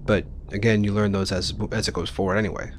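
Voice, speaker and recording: A man's voice: on the low side, flat delivery, fast talker, background noise. A man giving casual let's play commentary on a video game, with wry, joking asides.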